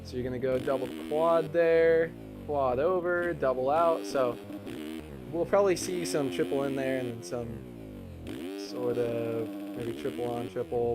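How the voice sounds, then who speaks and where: A man giving casual, analytical color commentary on an esports race, with plenty of fillers. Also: medium pitch. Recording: noisy background.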